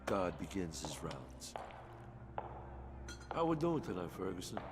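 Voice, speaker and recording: An actor playing a smooth-talking, menacing mobster boss in a game, measured and sardonic. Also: male voice, low-pitched, big pitch swings, background noise. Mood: coolly menacing.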